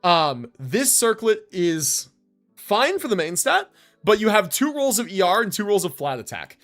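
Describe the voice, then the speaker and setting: A man's voice: high voice, sing-song, unhurried, fairly bright tone, soft background music. A man streaming live, reviewing game builds in casual commentary and thinking aloud as he goes.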